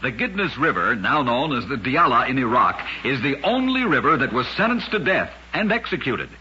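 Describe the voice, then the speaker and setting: A man's voice: mid-range voice, very animated, normal speed, bright, forceful tone, slight background noise. A man hosting and narrating scripted old-time radio trivia stories in a dramatic, emphatic manner. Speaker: radio show host and storyteller. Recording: dull, lo-fi old radio recording.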